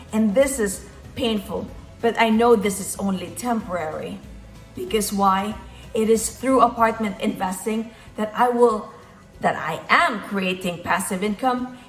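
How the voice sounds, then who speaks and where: A woman's voice: mid-range voice, roomy sound, low-quality recording. A woman from the Philippines with a Filipino accent, giving an earnest motivational talk and repeating key phrases for emphasis. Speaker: motivational speaker.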